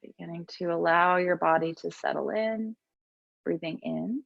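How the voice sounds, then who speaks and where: A woman teaching yoga and meditation, giving gentle, soothing, unhurried guidance with pauses between instructions. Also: lowish voice, creaky, dry recording, dull audio.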